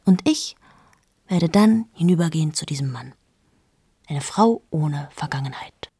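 Low-voiced, amoral woman journalist in her late 30s, confiding in hushed tones, dry studio radio play. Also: expressive, measured pace, husky, no room sound, heavily acted. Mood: brooding. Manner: intimate, addresses the listener, slips into whispers. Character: cold amoral female journalist, 38, confessing. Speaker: radio drama lead.

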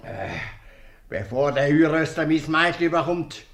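Gruff high-pitched Swiss innkeeper in echoey room. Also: male voice, animated, moderate pace, a bit bright, a little hiss or hum. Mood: stern. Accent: Swiss German. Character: gruff, stubborn innkeeper and strict father. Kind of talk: 1950s radio comedy acting in dialect.

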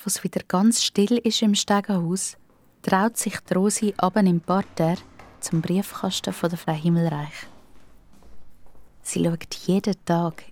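A woman's voice: medium pitch, darkish tone. A woman with a Swiss German accent reads scripted narration for a children's audio drama.

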